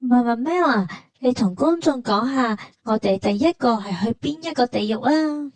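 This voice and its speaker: A woman's voice: fairly high pitch, lively intonation, moderate pace, a little mellow, no echo. A woman with a Hong Kong Cantonese accent hosting a travel vlog in a conversational, explanatory way, as scripted dialogue and narration.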